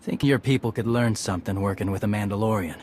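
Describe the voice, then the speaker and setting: A loyal clan fighter from a video game , acted by a man. His voice is on the low side, laconic and understated, with faint noise behind it. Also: quick pace, a little mellow, close to an everyday voice. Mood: quietly resolute. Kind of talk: scripted video game acting.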